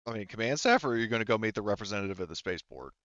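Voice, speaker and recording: A man narrating a tabletop roleplaying game as game master, improvising in a halting, hedging way. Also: fairly low pitch, lively intonation, fairly bright tone, dry recording, lo-fi sound.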